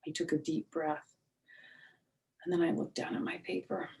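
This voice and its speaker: A woman's voice: low-pitched, flat delivery, normal speed, gravelly, a little mellow, poor audio. A woman reading a children's book aloud expressively as a storyteller, with explanatory asides.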